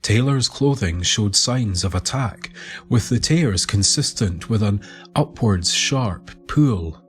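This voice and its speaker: A man narrating a scripted documentary story in a measured, deliberate manner. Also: on the low side. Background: music.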